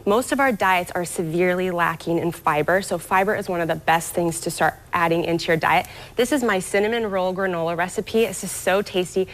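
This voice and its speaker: A woman's voice: fairly low pitch, fairly quick, few pauses. A woman guest on a TV lifestyle show, upbeat and explanatory as she walks through health tips in a studio. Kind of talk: TV morning show interview.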